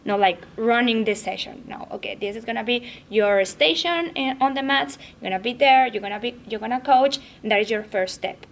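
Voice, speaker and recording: A woman coach from Mexico, a Spanish speaker with a Mexican accent, talking in a halting way with many restarts. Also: a little high. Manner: halting, repeats words. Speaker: fitness coach. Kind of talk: roundtable chat.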